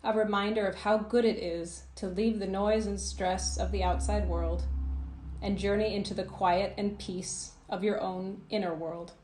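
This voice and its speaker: A woman narrating a guided visualisation as a coach, in a soothing, gentle, measured manner with phrases that trail off softly. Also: mid-range voice, steady tone, reverberant, a little hiss or hum, poor audio. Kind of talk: guided meditation, scripted narration.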